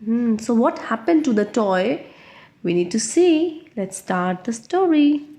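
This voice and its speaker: A woman's voice: on the high side, very animated, slow, warm tone. A woman reading a picture book aloud to young children, explaining it simply with questions and repetition. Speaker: children's storyteller.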